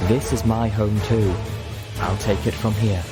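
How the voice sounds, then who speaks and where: A man's voice: fairly low pitch, steady tone, a little slow, over loud music, lightly acted. A man voicing a grieving but resolute female pony who takes charge, firm and emotional, in an audiobook. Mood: tearful resolve.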